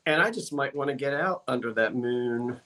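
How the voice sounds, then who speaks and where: A man's voice: medium pitch, normal speed, sharp tone. A man hosting a live-stream glass sale, chatting casually with viewers, with lots of fillers and self-corrections. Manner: chatty, rambling, reads out viewer comments. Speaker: live-stream auction host.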